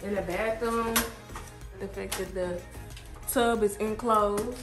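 A woman in her twenties talks casually to her vlog viewers in a mid-pitched voice. The sound is echoey, picked up on a handheld camera, with music behind her. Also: steady tone, slow. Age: 20s. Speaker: vlogger. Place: indoors in condo rooms and inside a car.

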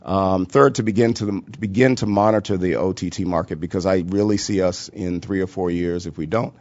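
A man with a very deep voice speaks on a conference panel in a measured, point-by-point way, often pausing on fillers. The recording is dull and lo-fi. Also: steady tone, darkish tone, no echo. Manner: measured, policy-focused, many "uh" fillers. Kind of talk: panel presentation. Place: conference room at a workshop.

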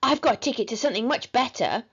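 A woman voicing a loud, indignant and scheming little boy in a children's storybook. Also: a little high, animated, quick pace, husky, lo-fi sound, heavily acted. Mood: scheming, bright.

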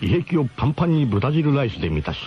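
A man's voice: mid-range voice, very animated, fast, highs cut off, slight background noise, close to an everyday voice. A man playing a camper who narrates a comic radio drama in a grandiose, mock-solemn way with colloquial turns. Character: male camper grandly recounting his gang's ramshackle expedition.

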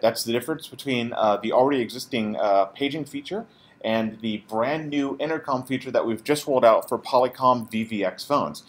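A man presenting an informal, explanatory product tutorial as a support technician. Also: medium pitch.

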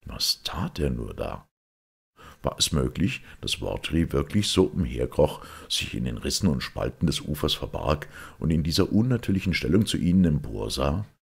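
A man reading a detective story as scripted literary narration, in a measured, dramatic manner. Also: a bass voice, creaky, slightly rough. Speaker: audiobook reader.

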